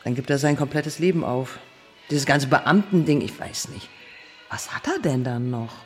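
An actress playing a brash, casual bank robber with a colloquial, teasing manner in a naturalistic radio drama. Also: female voice, rumbling low, animated, normal speed. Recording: music behind the voice. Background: music.

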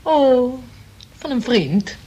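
A woman's voice: high-pitched, sing-song, very slow, warm tone, breathy edge, exaggerated voice. An actress playing a curt, unbothered young girlfriend in a Dutch radio play, answering in clipped, offhand phrases.

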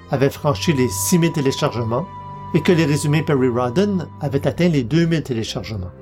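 A man's voice: medium pitch, darkish tone. A man, hosting a podcast and reading scripted narration. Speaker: podcast host and publisher.

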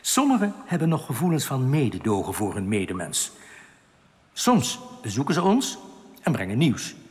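An actor playing a solemn underground rebel in a radio drama, giving grave warnings in a measured, earnest, formal way. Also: male voice, medium pitch, huge pitch swings. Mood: grave explaining. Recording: long echoing reverb.

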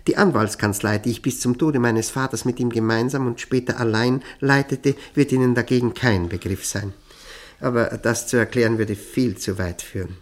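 An actor playing a modest, courteous middle-aged lawyer in a radio drama, speaking in a hesitant, deliberate and polite way. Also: male voice, mid-range voice, steady tone, natural voice. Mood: pensive, confiding.

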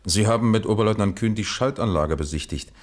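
An actor playing a probing, businesslike East German police captain in a radio crime drama, asking clipped questions. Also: male voice, on the low side, fairly quick, natural voice. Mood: probing, firm.

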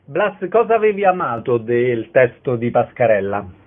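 A man's voice: medium pitch, big pitch swings, moderate pace. Male radio interviewer, thin phone-like sound.

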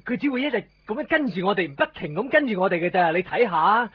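Exasperated young man on the run, higher-pitched, Hong Kong Cantonese, evasive and insistent, muffled nineties radio drama. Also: big pitch swings, fast talker, slightly rough, dry recording, heavily acted. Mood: worried. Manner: exasperated, emphatic, repeats himself. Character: anxious, evasive young man hiding from a clinging ex. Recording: muffled 1990s radio drama over music.

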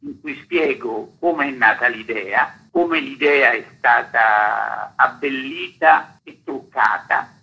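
An older man with a very raspy, gravelly voice, interviewed as an author. He explains things like a storyteller, emphatic and didactic, repeating phrases to drive each point home. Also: medium pitch, slow, lo-fi sound. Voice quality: husky, rough, gravelly. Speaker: author being interviewed about a history book.

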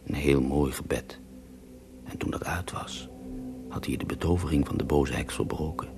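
An actor playing a pious, self-righteous Russian mystic healer in a radio drama, devout and wounded in manner. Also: male voice, a bass voice, steady tone, normal speed, vocal fry, in a whisper. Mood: hushed, soothing. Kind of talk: radio drama acting, mock interview.

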